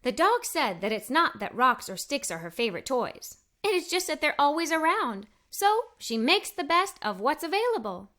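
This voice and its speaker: Woman, high voice, bright tone, expressive children's read-aloud narration, first-person young girl storyteller. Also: normal speed, few pauses. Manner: expressive, wonder-filled storytelling.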